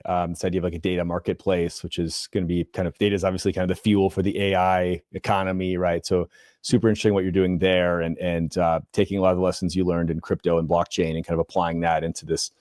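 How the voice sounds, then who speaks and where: A man's voice: low voice, darkish tone, dry recording, clean studio sound. A man hosting a podcast, asking long, rambling questions with many fillers and restarts in casual chat. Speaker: podcast host.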